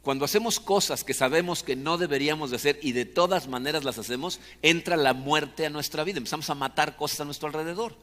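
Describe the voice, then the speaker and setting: Man, Mexican accent, fairly high-pitched voice, conversational sermon, explanatory, frequent tag questions, long hall reverb. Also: fast, few pauses, fairly bright tone. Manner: explanatory, often seeks agreement with short tag questions. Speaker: preacher.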